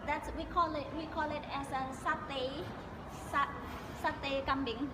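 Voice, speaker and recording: A woman with an Indonesian accent answering interview questions casually, explaining in an animated way and sometimes searching for words. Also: high-pitched, moderate pace. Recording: echoey hall with loud background noise.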